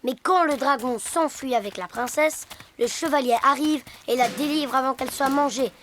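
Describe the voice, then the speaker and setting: A woman's voice: sits high, moderate pace, a bit bright, slight background noise. A woman voicing a kind, earnest little boy hippo in a children's cartoon, boyish and given to explaining things. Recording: music behind the voice.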